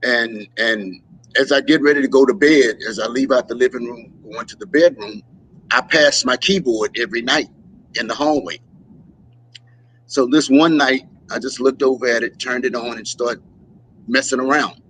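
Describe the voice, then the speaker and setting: A man in a relaxed interview telling stories with energy, often jumping into voices when he quotes people. His voice sits at a middle pitch. A faint hiss of noise runs behind him. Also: moderate pace, low-quality recording. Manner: animated storyteller, acts out quotes. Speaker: musician guest. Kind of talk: casual interview chat.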